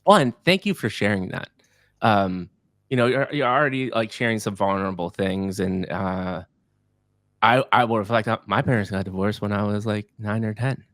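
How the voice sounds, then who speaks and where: Upbeat, low-voiced male podcast host. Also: normal speed, no room sound, studio quality. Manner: energetic, effusive, repeats words when excited. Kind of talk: enthusiastic podcast interviewing.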